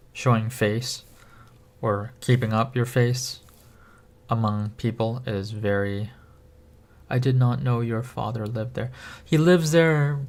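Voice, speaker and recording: Young Korean-born man, lowish voice, unhurried halting livestream chat. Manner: slow, halting, thinking aloud with many pauses. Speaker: livestream host. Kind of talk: casual livestream chat reading viewer questions.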